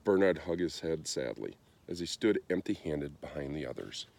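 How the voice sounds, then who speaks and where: A man reading a children's story aloud in an expressive storytelling style. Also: extremely low pitch, normal speed, vocal fry. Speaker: storybook reader.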